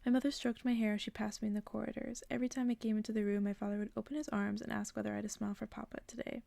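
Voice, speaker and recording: Whispering, creaky-voiced woman reading a bedtime story. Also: medium pitch, flat delivery, very fast, no echo. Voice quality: soft, breathy whisper. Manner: hushed, gentle narration. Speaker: ASMR creator reading stories aloud. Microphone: close-up microphone.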